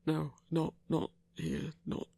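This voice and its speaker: A man playing a shy, gentle lone werewolf hunter in an intimate audio roleplay, speaking haltingly with stammers in a breathy voice. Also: on the high side, monotone, no echo, heavily acted. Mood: hesitant, awkward. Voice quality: breathy, husky.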